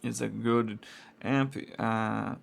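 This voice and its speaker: A married man from Brazil whose first language is Portuguese, reviewing an amplifier in halting English with a Brazilian accent and frequent "uh" fillers. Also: lowish voice, steady tone, creaky. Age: adult, married. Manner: halting non-native English with many uh fillers. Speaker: product reviewer. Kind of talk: casual product review.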